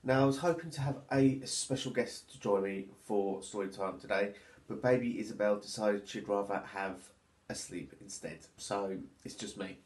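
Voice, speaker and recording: A man, a teacher reading a storybook to children in an expressive, deliberate way. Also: lowish voice. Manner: expressive, deliberate, addressing young listeners. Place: small plain room at home, indoors. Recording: echoey small room.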